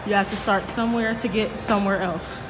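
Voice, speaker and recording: A young woman in a casual, chatty vlog at the gym, talking in a relaxed, colloquial way. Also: medium pitch, brisk, rough recording. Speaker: fitness vlogger. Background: music.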